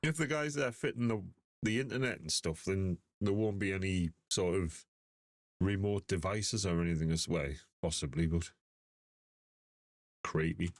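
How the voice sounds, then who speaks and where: An older man with a hoarse voice from a cold, chatting casually as he reacts to ghost videos. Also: deep voice, normal speed, dry recording, studio quality. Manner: chatty, easygoing.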